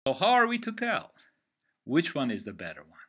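An older man from Romania with a Romanian accent, giving an online literature lecture over a headset on a video call from home, in a measured, deliberate way. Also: a little high, huge pitch swings, sharp tone. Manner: measured, deliberate explaining with pauses. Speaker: literature teacher. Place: home room.

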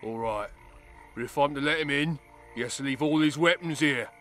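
An actor playing a suspicious, officious gate guard in a video game, brusque and challenging. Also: male voice, fairly high pitch, normal speed, a bit bright, a little hiss or hum, natural voice. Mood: wary.

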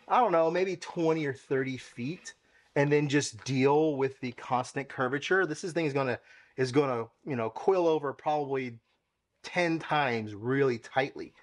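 A young man hosting a car-care channel, casually explaining as he works with his hands in a home garage, talking to a camera mic while he moves around. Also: mid-range voice. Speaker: car detailing channel host. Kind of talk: casual product demo. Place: home garage with tiled floor.

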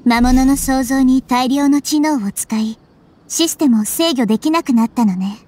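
Woman as a high-voiced, wise little goddess girl. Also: close to an everyday voice. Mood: calm, grave. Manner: calm, measured, gently authoritative explaining. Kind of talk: anime game voice acting. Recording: music under the voice.

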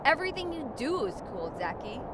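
A woman's voice: high voice, very animated, a little fast, background noise. A woman voicing a feisty young superhero kid in a comic dub, cheeky and exclamatory. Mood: eager.

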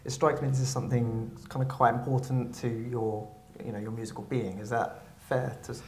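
A man in his thirties speaks at an average pitch as an interviewer on stage in a small hall. He feels his way into each question, tentative and informal, repeating words as he goes. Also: level tone, brisk, slight background noise. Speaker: interviewer, guitarist.

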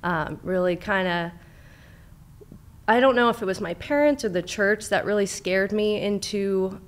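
A woman's voice: medium pitch, a little slow. A young woman from Southern California, a therapist as guest, telling her life story openly and chattily, with many fillers, into a studio mic. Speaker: clinical director, therapist, guest. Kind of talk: casual interview, personal story. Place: studio with brick wall. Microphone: studio mic on boom arm, headphones.

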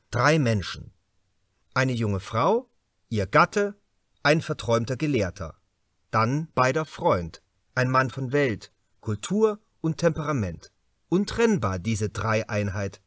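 A man narrating a crime novel as an audiobook, in measured, deliberate phrases with dramatic emphasis. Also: mid-range voice, fairly bright tone, dry recording, clean studio sound.

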